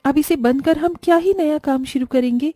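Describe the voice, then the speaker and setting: A woman's voice: sits high, normal speed, dark tone, dry recording, lightly acted. A woman voicing a caring, resourceful wife in a cartoon, speaking in an earnest, concerned way. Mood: worried. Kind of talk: scripted cartoon dialogue.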